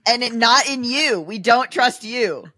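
A woman's voice: fairly high pitch, expressive, unhurried, pushed and bright, no echo. A woman co-hosting a comedy podcast with wry, teasing, casual banter.

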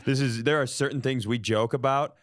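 A man's voice: mid-range voice, steady tone, fairly quick. An American man co-hosting a comedy podcast with casual, profane banter and a mock-indignant manner.

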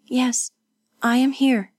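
Woman voicing a gentle young noblewoman, lifted pitch. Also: flat delivery, takes it slowly, no echo, studio quality. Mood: soothing. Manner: gentle, soothing, firm. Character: calm, tender, resolute young noblewoman comforting the wounded. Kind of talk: audiobook character voice.

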